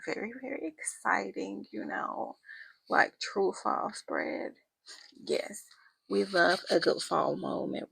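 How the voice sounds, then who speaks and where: A woman with a raspy voice that is rough from her first talking of the day, chatting loosely through a planner voice-over with lots of ums and likes. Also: mid-range voice, fairly bright tone, no echo. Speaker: planner vlogger. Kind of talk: casual voice-over chat. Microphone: voice-over mic.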